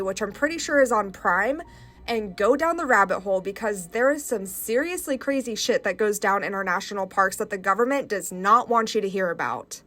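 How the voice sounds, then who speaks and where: A woman hosting a channel and reading first-person stories aloud with a congested, stuffy nose in a calm, measured way. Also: mid-range voice. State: stuffy nose from sinus infection. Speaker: host.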